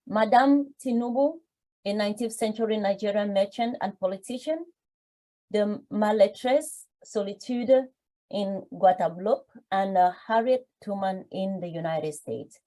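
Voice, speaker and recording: A woman host with a mellow, darker tone reads an introduction at an even, mid-range pitch. She then interviews her guest, answering with affirming remarks. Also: measured pace, poor audio. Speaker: podcast host.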